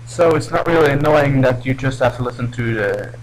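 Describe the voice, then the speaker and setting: Young man from Belgium, non-native English, hesitant gameplay commentary, mid-pitched voice, faint hum behind. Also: fairly quick, low-quality recording. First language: not English. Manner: hesitant, restarts often. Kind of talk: casual let's play commentary.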